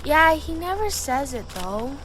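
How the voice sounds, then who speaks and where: A woman's voice: high-pitched, very animated, unhurried, fairly bright tone, a little hiss or hum, exaggerated voice, poor audio. A woman playing an earnest, wistful young boy in an audio drama.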